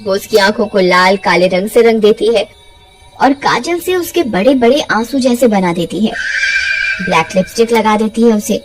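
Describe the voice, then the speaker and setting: A woman's voice: medium pitch, lively intonation, moderate pace, soft background music. A woman telling a story as a scripted narration.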